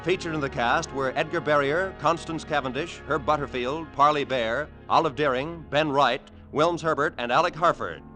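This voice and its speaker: Bright, high-pitched American radio announcer man. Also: normal speed, few pauses, rough recording. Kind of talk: 1940s radio announcing, scripted. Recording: old radio broadcast, music behind.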